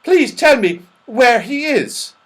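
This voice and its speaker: A man voicing an excitable, muddled, friendly old man in a children's storybook, exclaiming loudly. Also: very high-pitched, takes it slowly, voice pushed up, full character voice. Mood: eager.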